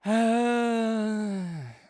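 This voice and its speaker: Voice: mid-range voice, extremely slow, darkish tone. A young adult performer voicing a sarcastic, condescending academic rival with a slight tsundere streak, teasing throughout. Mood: smug. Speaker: audio roleplay performer. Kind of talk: scripted audio roleplay acting.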